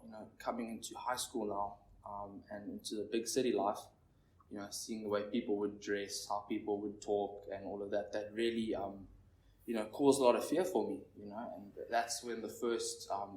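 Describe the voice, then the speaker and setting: A nervous young man in his twenties from Zimbabwe, sharing his recovery story at a meeting in a halting, rambling way. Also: fairly low pitch. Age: 20s. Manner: halting, rambling, heavy on fillers. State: nervous, shaky. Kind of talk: personal recovery share. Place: meeting room with an audience. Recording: echoey room, distant mic, faint noise.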